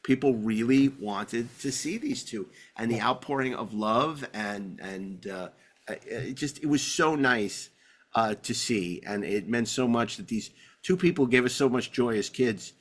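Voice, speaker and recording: A man's voice: mid-range voice, a little slow. A man hosting a podcast in casual banter, rambling along with enthusiasm and wry humor. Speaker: podcast host.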